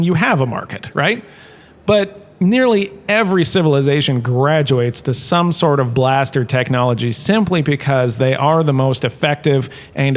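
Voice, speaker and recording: An actor playing a washed-up former star turned lecturer, giving a breezy, joking lecture in a comic audio drama. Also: male voice, on the high side, lightly acted. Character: washed-up former star, now a lecturer, chatty and self-important.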